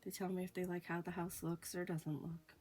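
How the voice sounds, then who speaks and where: A woman hosting a ghost story show, telling a personal haunting story slowly with hushed pauses, her voice a little off from a recent illness. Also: lowish voice, flat delivery. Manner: slow, hushed, dramatic pauses. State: recovering from illness, voice sounds off. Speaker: storyteller host. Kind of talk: spoken ghost story narration.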